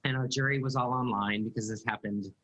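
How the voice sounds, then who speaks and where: A man's voice: medium pitch, steady tone, a little fast, fairly bright tone, low-quality recording. A man in his thirties with an American accent, chattily moderating a panel over a video call. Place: indoor room at home on a video call. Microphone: computer video call.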